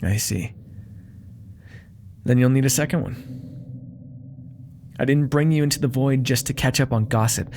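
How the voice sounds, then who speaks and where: A man's voice: medium pitch, unhurried, pauses often, a little mellow, a little hiss or hum, natural voice. A man voicing a vain, menacing demon crime lord in an ASMR audio roleplay, silky, theatrical and condescending, with cool threats under mock affection. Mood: calm, calculating.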